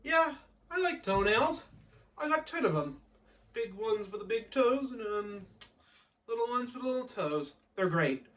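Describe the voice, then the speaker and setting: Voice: mid-range voice, expressive, measured pace, fairly bright tone, recorded from a distance, pitched above the performer's own voice. A storyteller voicing a simple, naive, plain-spoken interviewee in a mock advert. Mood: naively pleased.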